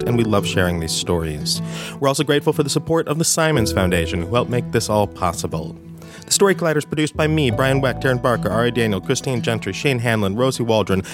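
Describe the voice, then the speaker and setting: A man reading a scripted podcast outro as its host and producer. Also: mid-range voice. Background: plucked guitar theme music.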